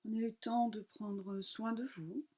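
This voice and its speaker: A woman teaching yoga nidra, guiding a relaxation meditation calmly in short, unhurried phrases with pauses. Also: a little high.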